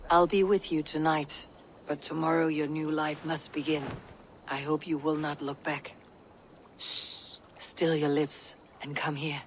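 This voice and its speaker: Faint ambience surrounds a female Viking warrior leader in a historical game. She speaks with measured, resolute authority, steady and composed. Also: deep voice, a little hiss or hum. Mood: calm, intimate. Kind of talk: dramatic video game acting.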